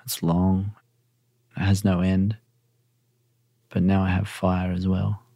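Male narrator for confessional short fiction: very low, creaky register, measured phrasing. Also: monotone, dark tone, dry recording. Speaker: storyteller reading own short story. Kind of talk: literary first-person narration.

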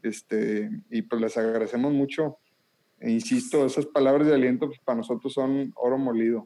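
An earnest man with a strong northern Mexican accent hosts a faith podcast. He talks in a loose, thankful way, full of filler words, at an easy middle pitch. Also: fairly even intonation, moderate pace, dark tone. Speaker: podcast host. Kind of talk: casual chat.

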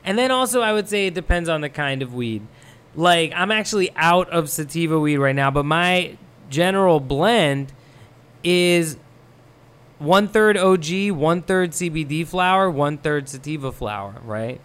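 A young man who grew up in Thailand, talking as a guest in a casual chat, rambling and relaxed with many fillers. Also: on the high side, normal speed, clear, resonant tone. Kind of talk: casual podcast conversation.

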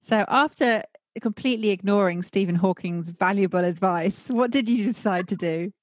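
A woman hosting a podcast, reading a scripted intro and then interviewing her guest in a measured, emphatic way. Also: medium pitch, no echo. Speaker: podcast host. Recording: dry close audio with faint music.

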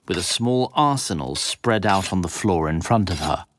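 A man's voice: low voice, lively intonation, moderate pace, a little hiss or hum. A man reading scripted narration as the narrator of an audio drama.

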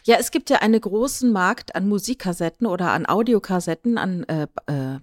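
A woman's voice: medium pitch, animated, brisk, no room sound. Middle-aged woman, dry close podcast sound. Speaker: podcast co-host. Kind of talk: casual podcast chat.

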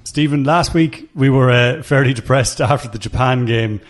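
A man hosting a sports podcast, asking brisk, chatty questions. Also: medium pitch. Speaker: podcast host.

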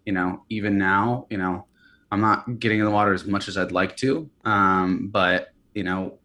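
Man, early 30s, interview guest, casual, hesitant, many fillers, low voice. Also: fairly even intonation, normal speed, pushed and bright. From California and Hawaii. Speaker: guest, company founder.

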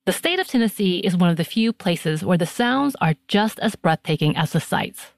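A woman's voice: fairly low pitch, expressive, normal speed, no echo. An American woman delivering scripted advertising copy for a podcast. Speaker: podcast ad reader. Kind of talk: scripted sponsor read.

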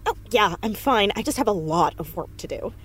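A woman's voice: medium pitch, lively intonation, a little fast, breathy edge. A woman acting a confident, precocious fourteen-year-old American girl detective in an audio drama, earnest and articulate. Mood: intent, confident. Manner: earnest, articulate, rattles off deductions in long sentences.

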